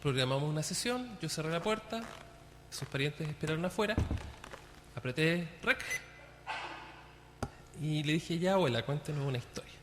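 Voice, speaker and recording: A man's voice: on the high side, expressive, moderate pace, pauses often. A man recounting an experience of his own as a conversational, spoken anecdote. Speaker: documentary maker recounting his recording. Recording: noisy, long hall reverb.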